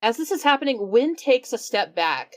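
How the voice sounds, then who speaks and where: A woman's voice: on the high side, big pitch swings, moderate pace. Woman casually playing a tabletop game. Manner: narrates her character's actions in a casual, explanatory way. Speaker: player.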